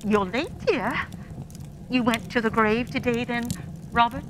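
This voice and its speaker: A woman playing a gentle, stoic, grieving mother in a radio play, soft and subdued. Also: fairly high pitch, measured pace, noisy background, natural voice. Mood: quiet grief. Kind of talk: theatrical radio play acting.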